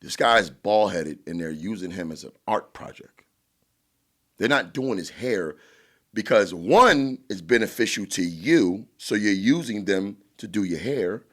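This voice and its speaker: A man with a New York accent talks in a booming, fairly low voice, his pitch swinging widely as he makes his points. He is blunt and emphatic and swears freely in a loose podcast chat. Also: expressive, a little slow, a bit bright. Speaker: podcast co-host.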